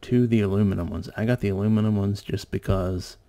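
A man's voice: lowish voice, fairly even intonation, brisk, mellow. A man giving a casual, explanatory electronics tutorial narration in an informal way. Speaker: host of an electronics tutorial channel.

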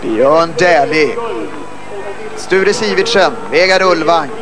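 A man with a Swedish accent giving live commentary on a ski relay in a measured way that builds to excited calls. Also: fairly high pitch, crowd noise. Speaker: sports commentator.

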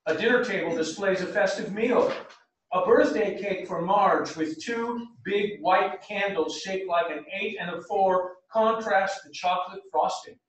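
Male narrator for stage directions, high voice, scripted reading, distant microphone in an echoing theatre. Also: unhurried. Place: small theatre. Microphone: far camera. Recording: very echoey theatre stage from far off.

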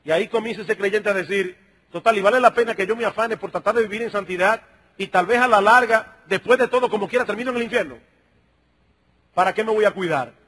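High-pitched, husky preaching man. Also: a bit bright. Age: middle-aged. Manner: emphatic, rhetorical questions, direct address to listeners. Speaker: pastor. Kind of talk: sermon, expository preaching to a congregation.

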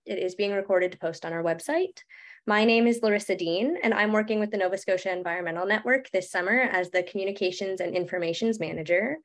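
Woman in her twenties, upbeat webinar host reading from notes on a video call, mid-pitched voice. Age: 20s. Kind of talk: scripted introduction. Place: home room.

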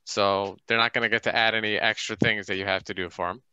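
A man's voice: on the low side, fairly even intonation, fast talker, pushed and bright, clear, resonant tone, dry recording. A man casually explaining rules and dice during a tabletop game on a group video call. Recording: dull band-limited online call.

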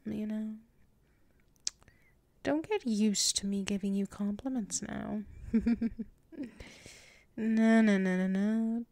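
A woman's voice: mid-range voice, gravelly, a little mellow, dry recording. A woman playing a submissive yet proud tsundere werewolf in an intimate ASMR roleplay, murmuring hesitantly and often slipping into whispers. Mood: coy, flustered. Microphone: close mic.

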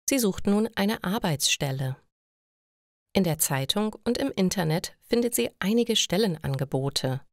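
A woman reads a scripted story for language learners, enunciating clearly in a measured way. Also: medium pitch, no room sound, clean studio sound. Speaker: narrator of German listening lessons.

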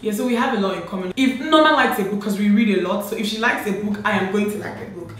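A woman in her late twenties from Nigeria, hosting a casual sister tag chat with animated, laughing talk, filmed seated at home. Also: mid-range voice, rapid-fire. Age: late 20s. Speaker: beauty vlogger host. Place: bright home room, indoors. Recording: echoey room with faint noise.

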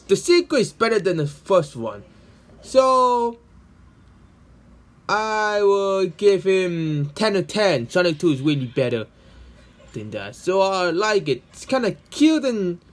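A man who dubs comics as an amateur, chatting casually and haltingly to viewers, repeating words. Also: high voice. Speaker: amateur voice dubber, video host. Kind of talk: casual unscripted chat.

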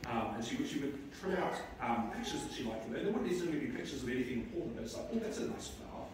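A middle-aged man giving a eulogy for his mother in a large church, speaking in a halting way with many restarts. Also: medium pitch, animated, background noise. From New Zealand. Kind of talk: eulogy at a memorial service. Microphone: far camera in the pews. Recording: echoey church from far off.

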